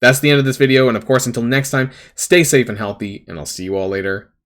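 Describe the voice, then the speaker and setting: A man in the middle of his pitch range talks casually to his channel's viewers about a game. He rambles, stacking fillers and correcting himself as he goes, and his voice has turned a little raspy. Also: fast talker, a bit bright. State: slightly raspy voice. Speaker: gaming channel host. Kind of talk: casual gaming commentary to camera.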